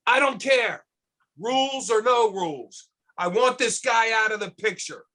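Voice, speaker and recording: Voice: mid-range voice, a little slow, sharp tone. An actor playing a ruthless, scheming boss in a screenplay table read, blunt and commanding. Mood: cold, demanding.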